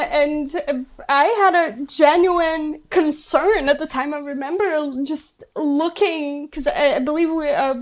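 Giggly, high-voiced woman asking questions. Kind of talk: casual chat.

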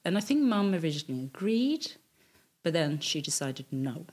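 A woman's voice: low-pitched, very animated, normal speed, darkish tone. A woman in her fifties, born in Tanzania and raised in Sweden, chatting casually about her life, laughing often and trailing off mid-sentence. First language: English first, then Swedish. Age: 50s. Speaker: guest telling her life story. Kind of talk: casual conversational storytelling.

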